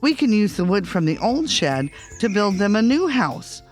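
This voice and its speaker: Narrator doing an eager high-pitched boy duckling. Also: very animated, moderate pace, soft background music, natural voice. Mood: eager pleading. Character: eager, pleading young boy cartoon duckling nephew. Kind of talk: children's storybook character voice.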